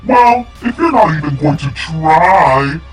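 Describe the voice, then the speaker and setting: A woman's voice: low voice, expressive, takes it slowly, roomy sound, recorded from a distance, soft background music, voice pushed down. A woman voicing Mac, a scruffy boy monster under the bed who whines and warns. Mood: whiny. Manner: whiny, pushy.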